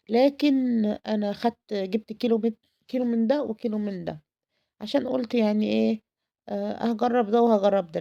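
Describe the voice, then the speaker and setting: Tired-voiced Egyptian woman, gravelly. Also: mid-range voice, dull audio. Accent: Egyptian Arabic. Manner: warm, effusive, repeats words for emphasis. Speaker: home cooking vlogger. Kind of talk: chatty cooking vlog.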